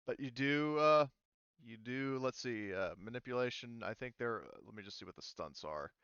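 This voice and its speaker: Casting: male game master, halting and filler-heavy, smooth resonant tone, dull lo-fi sound. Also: medium pitch, a bit bright, no room sound. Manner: hesitant, lots of ums and restarts. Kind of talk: running a tabletop roleplaying game.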